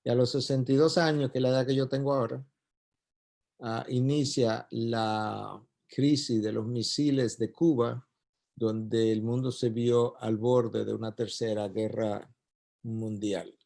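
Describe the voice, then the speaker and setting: Man, puerto rican spanish accent, gravelly, mellow, emphatic church talk. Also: medium pitch, steady tone, unhurried. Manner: emphatic, repetitive build-ups. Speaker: speaker at a church event. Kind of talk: sermon-style talk to doctors.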